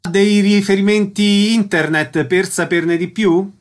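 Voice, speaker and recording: A man presents a radio programme in an announcer's style. His voice is a little higher than average as he reads out details and introduces guests. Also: level tone, a little slow. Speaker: radio host. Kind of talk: scripted announcements and interview.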